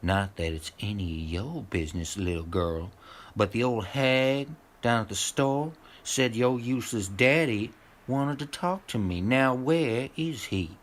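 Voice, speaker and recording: A man's voice: mid-range voice, natural voice. A man playing a menacing, crude backwoods moonshiner in an audio drama, with a rustic, taunting way of talking. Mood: bossy, menacing. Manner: rustic, slow, taunting talk.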